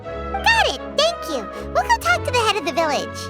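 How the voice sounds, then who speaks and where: A woman's voice: very high voice, wildly swooping pitch, normal speed, exaggerated voice. A woman voicing a small, chirpy, curious girl companion in a game, with eager, sing-song questions. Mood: cheerful. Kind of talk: game voice acting. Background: game music.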